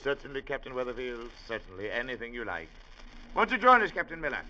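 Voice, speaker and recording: Pompous judge, very sharp voice. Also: male voice, medium pitch, sing-song, fast talker. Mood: effusive, flattering. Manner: pompous, oratorical. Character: pompous, effusive, flattering male town judge. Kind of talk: 1950s radio drama acting. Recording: muffled, lightly noisy old radio recording.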